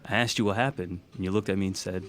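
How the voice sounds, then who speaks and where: An actor playing an uneasy man recounting a frightening memory in a plain, halting way, in a naturalistic audio drama. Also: low-pitched, steady tone, lightly acted. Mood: grave, uneasy.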